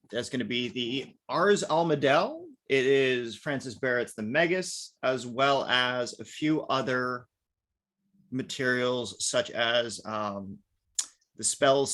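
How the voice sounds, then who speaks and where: A man's voice: medium pitch, pushed and bright. Chatty man teaching a class. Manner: chatty, peppered with "right?" and "now". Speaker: workshop teacher. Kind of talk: casual online class lecture.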